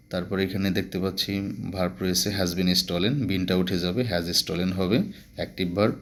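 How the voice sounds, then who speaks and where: A man with a Bangladeshi accent explaining grammar step by step as a teacher, mixing English terms into his speech. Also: deep voice.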